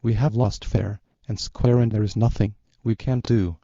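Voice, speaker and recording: A man's synthetic voice playing a defeated president, flat and resigned. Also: on the low side, moderate pace, no echo, lo-fi sound. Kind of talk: synthetic voice in a fan scenario video.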